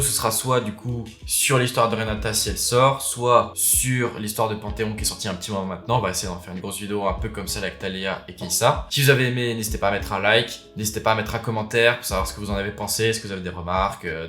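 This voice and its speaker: A man's voice: lowish voice, bright, forceful tone. A man hosting a video, giving informal, enthusiastic explanatory commentary. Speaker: video host. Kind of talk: casual explanatory commentary on game lore. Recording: roomy echo, music underneath. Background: background music.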